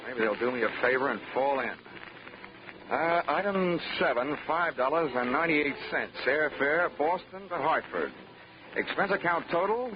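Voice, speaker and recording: A man's voice: fairly high pitch, lively intonation, moderate pace, background noise, natural voice. An actor playing a wry, hard-boiled investigator who narrates his case with dry, clipped wisecracks in 1940s radio style. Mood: sardonic. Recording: muffled 1940s radio with music.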